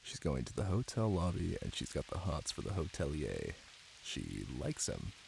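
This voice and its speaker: Deep, gravelly, tender boyfriend played by a man. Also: wildly swooping pitch, noisy background, exaggerated voice. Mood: soft storytelling. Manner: soft, unhurried, teasing, with murmured pauses. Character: affectionate, playful, ticklish boyfriend reading aloud to partner. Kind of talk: intimate boyfriend roleplay ASMR. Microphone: close microphone. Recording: close, dry, with rain ambience. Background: rain.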